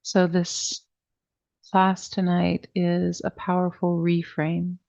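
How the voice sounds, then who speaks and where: A woman teaching a guided meditation class, speaking in a soothing, deliberate way with long pauses and a soft-edged, creaky voice. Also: fairly low pitch, monotone, dull audio. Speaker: yoga nidra meditation teacher.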